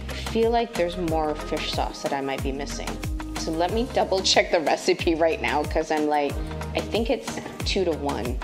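Chatty woman with a lower voice over music. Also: normal speed. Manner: chatty, relaxed, frequent fillers. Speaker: host and tech sales lead. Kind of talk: casual cooking vlog and pitch. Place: home kitchen indoors.

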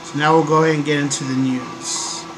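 A young man with a somewhat high voice talks hoarsely, still getting over a cold, into a desk microphone in a small bedroom. He fills his sentences with ums and keeps clearing his throat, and a steady hiss sits under the recording. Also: brisk. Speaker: host. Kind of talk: casual webcam vlog. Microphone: desk microphone on a stand. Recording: noisy home recording.

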